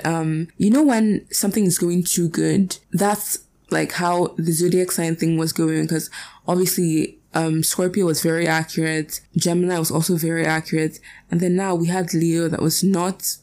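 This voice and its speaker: A young woman hosting a podcast, chatty and self-deprecating, her voice raspy today. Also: fairly low pitch, fairly even intonation, normal speed, darkish tone. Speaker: podcast host. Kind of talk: casual chat.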